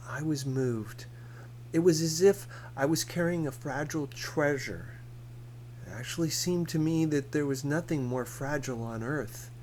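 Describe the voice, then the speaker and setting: A man reading a novel aloud as scripted narration, in a measured, deliberate manner. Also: fairly high pitch, a little mellow, a little hiss or hum. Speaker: audiobook reader.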